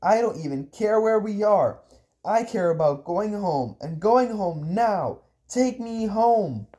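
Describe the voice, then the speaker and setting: A stubborn, scared teenage boy, played by a male narrator, protests in indignant shouts. The pitch is high and swoops with every demand, as in an adventure audiobook. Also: expressive, measured pace. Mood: angry demanding.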